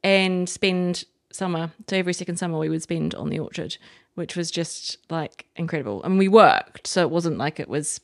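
Gravelly-voiced New Zealand woman chef. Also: fairly low pitch, moderate pace, few pauses. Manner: chatty, enthusiastic, rambling with many restarts. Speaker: chef guest. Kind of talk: casual interview chat.

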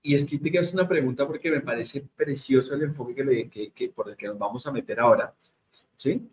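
A man's voice: medium pitch, fast. A man with a Colombian accent hosting a conversational interview, speaking emphatically and directly to his guest and audience. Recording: noticeable background noise.

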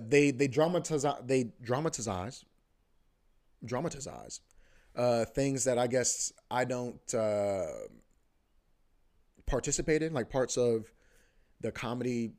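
A young man talks casually on his podcast, loose and profane. He piles on filler words, repeats phrases and restarts his sentences. His voice sits at an ordinary male pitch. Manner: loose and profane, heavy filler like "bro" and "you know what I mean"; repeats himself, often restarts. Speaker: podcast host. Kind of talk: casual rambling monologue.

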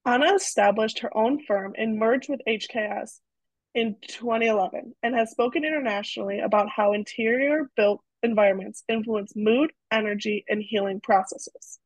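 A young woman co-hosts a student podcast with a higher voice, reading the guest introduction and asking short questions in a friendly way. The recording is close and dry. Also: normal speed, dry recording, low-quality recording. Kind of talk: scripted intro, quick questions.

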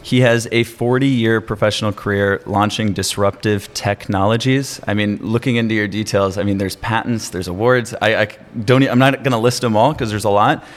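A man's voice: lowish voice, steady tone, moderate pace, few pauses. A man hosting a podcast, introducing his guest and asking short, probing questions in an upbeat way. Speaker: podcast host.

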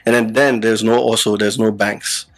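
Gravelly low-voiced man explaining, halting. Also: flat delivery, rough recording. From Ghana. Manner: halting, many fillers and restarts. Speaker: podcast guest, app founder. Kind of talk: casual explanatory interview talk.

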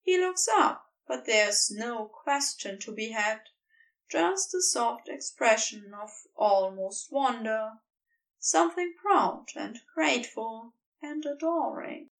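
A high-voiced woman narrates a tender piece of fiction at a slow pace. Her reading is gentle and literary, and she sounds set back from the microphone. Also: distant mic, poor audio. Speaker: fan fiction audiobook reader. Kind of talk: scripted narration of a tender romantic story.

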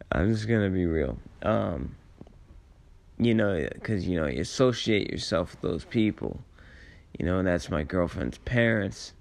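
A man with a low, raspy voice full of gravelly creak, sounding tired at the end of a long day. He rambles through personal memories at a very unhurried pace. His phrasing is halting and reflective, with laid-back slang. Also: expressive. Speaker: storyteller sharing personal memories. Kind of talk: casual rambling personal storytelling.

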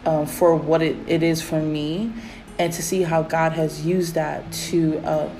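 A woman hosting a casual faith podcast, with a raspy voice and a rambling manner full of fillers. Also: low-pitched, unhurried, faint music behind, low-quality recording. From Pacific Islands. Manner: rambling, many fillers, animated when excited. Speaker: podcast host.

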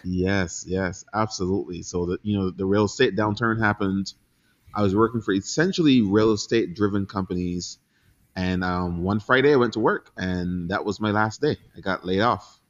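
A man in his forties from Barbados, a guest chatting casually in an interview, relaxed, laughing easily and pausing with ums. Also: sits low, lo-fi sound. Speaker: guest entrepreneur.

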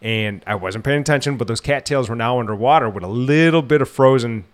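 A man's voice: medium pitch, a little fast. A man in his thirties hosting an outdoors podcast, sounding nasal from a head cold and telling long, rambling stories. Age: 30s. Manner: chatty storyteller, rambling with restarts. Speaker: podcast host. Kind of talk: casual chat.

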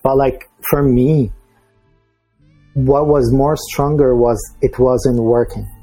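Syrian man, dark husky voice. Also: medium pitch, steady tone, no room sound, soft background music, poor audio. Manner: halting, many restarts and fillers. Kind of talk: casual conversation.

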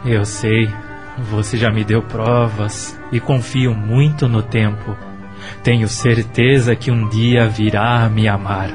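A man's voice: fairly low pitch, little pitch movement, slow, over loud music. A man acting in a radio soap opera as a devoted suitor, earnest and coaxing. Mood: patient, devoted. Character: Samuel, devoted, earnest suitor who runs a supermarket.

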